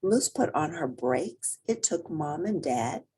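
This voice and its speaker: A woman reading a children's picture book aloud as an expressive storyteller with theatrical emphasis. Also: deep voice, takes it slowly, slightly rough.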